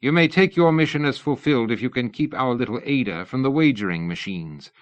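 A man's voice: medium pitch, lively intonation, fairly quick, pitched above the performer's own voice, natural voice. A man reading an audiobook, voicing a male official who speaks with mincing irony. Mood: ironic.

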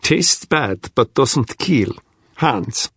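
A man's voice: medium pitch, animated, extremely slow, a bit bright, breathy edge, dry recording, studio quality, exaggerated voice. A man playing a wry young Russian strategist in an audiobook, with a thick Russian accent and clipped, sardonic phrasing that drops articles. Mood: matter-of-fact. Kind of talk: audiobook character dialogue.

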